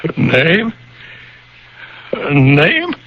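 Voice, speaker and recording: An actor playing a despairing, bewildered man who has lost his grip on reality, halting and anguished in a radio mystery drama. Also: a little high, wildly swooping pitch, husky, heavily acted. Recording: dull vintage radio broadcast.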